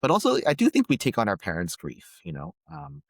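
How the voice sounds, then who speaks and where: A man from Palestine, a guest on a podcast, speaking thoughtfully and pausing often to search for words. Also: mid-range voice, huge pitch swings, gravelly, dry recording, studio quality. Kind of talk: reflective conversation.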